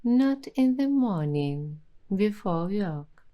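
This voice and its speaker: A woman hosting an ASMR roleplay, speaking softly and reflectively in gentle, affirming words. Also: medium pitch, big pitch swings, lo-fi sound. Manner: soft, slow, reflective and affirming. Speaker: ASMR host.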